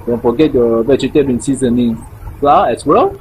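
A man's voice: medium pitch, normal speed, a little mellow, slight background noise, low-quality recording. A man hosting a casual recipe tutorial, chatty with simple phrasing and playful sing-song repeats. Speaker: cooking show host. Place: small indoor room.

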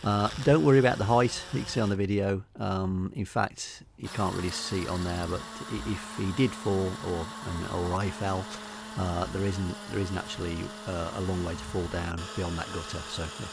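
A middle-aged man with an English accent giving a casual, unscripted voice-over walkthrough, hesitating and pausing often. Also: low-pitched, steady tone, vocal fry, very noisy. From England. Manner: hesitant, unscripted delivery with many pauses. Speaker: hobbyist video narrator.

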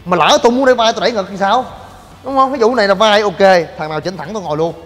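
A young man hosting a gym vlog, joking in rapid banter and shouting coaching cues. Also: high voice. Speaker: fitness vlogger. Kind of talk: energetic gym demo vlog. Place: large indoor gym. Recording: long gym reverb with music.

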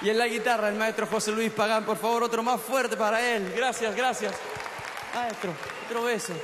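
A man on a large open-air stage at night, talking into a handheld mic and hyping the crowd with short, excited exclamations between songs. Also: high-pitched, normal speed, noisy background. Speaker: singer and stage host. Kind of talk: live concert stage banter. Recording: echoey live arena sound, loud band. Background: loud live band.

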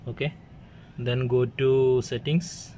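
Guy doing a tech walkthrough at a workbench, short plain steps, medium pitch, noisy phone recording. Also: steady tone, slow, dark tone. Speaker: tech tutorial presenter. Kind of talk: hands-on step-by-step demonstration. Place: indoors at an equipment workbench. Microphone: handheld phone camera mic. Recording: clearly noisy room ambience on handheld recording.

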